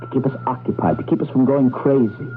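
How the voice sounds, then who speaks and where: A man's voice: mid-range voice, quick pace, natural voice. An actor playing a defiant, impassioned male rebel with an urgent, emphatic delivery in a 1980s radio drama. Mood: defiant, rallying. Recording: tinny vintage radio broadcast, faint music behind.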